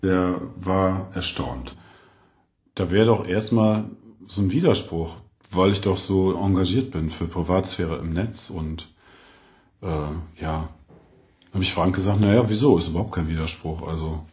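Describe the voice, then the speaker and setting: A man's voice: deep voice, a little slow, a little mellow. A man giving a casual personal account, hesitantly explaining himself in broken phrases.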